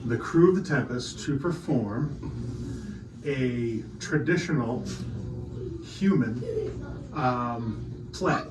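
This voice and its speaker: A man running a live tabletop game in a casual, halting way, often breaking into a laugh. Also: medium pitch, expressive. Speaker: game master. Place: indoor room at a holiday gathering. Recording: roomy, distant mic, loud background noise.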